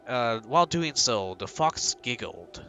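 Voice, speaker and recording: Bright-voiced male streamer reading a game. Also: medium pitch, expressive, a little slow, faint music behind. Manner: joking, rambling asides with fillers. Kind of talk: reading a game aloud with asides.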